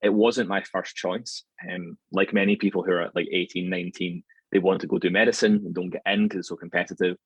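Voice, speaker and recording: A man in his thirties with a Scottish accent, answering interview questions in a relaxed, rambling way with frequent ums. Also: deep voice, a little fast, husky, no echo, poor audio. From Scotland, near Glasgow. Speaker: anatomy lecturer, podcast guest.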